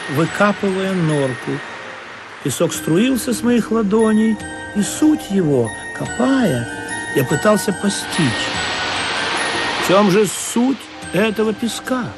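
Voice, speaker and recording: A man narrates a radio play with theatrical expression. His voice is high and animated, set over clear music. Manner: expressive, dramatic. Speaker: narrator and first-person character.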